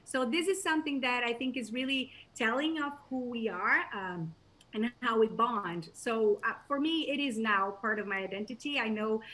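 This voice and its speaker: A woman's voice: on the high side, moderate pace, a bit bright, low-quality recording. A woman from Brazil with a Brazilian Portuguese accent, a professor and journalist answering interview questions in a thoughtful way, with frequent restarts.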